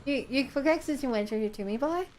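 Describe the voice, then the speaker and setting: A woman's voice: high voice, fairly quick, a little mellow, slight background noise. A woman with a New Zealand accent, a game streamer chatting casually and reading on-screen text aloud.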